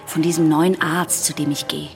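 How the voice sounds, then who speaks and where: A woman's voice: sits low, fairly even intonation, moderate pace, gravelly, music in the background. An actress playing an anxious teenage girl patient in a German radio play, hesitant, secretive and intense. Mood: confiding.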